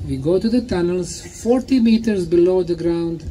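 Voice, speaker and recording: A man lecturing in short, emphatic phrases, pausing for an interpreter, with a deliberate, didactic manner. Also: high-pitched, dark tone, a little hiss or hum. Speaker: lecturer and guide.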